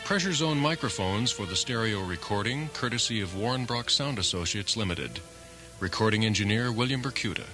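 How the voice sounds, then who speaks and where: A man's voice: on the low side, animated, a little fast. A man announcing radio drama credits in a scripted style, with music behind him.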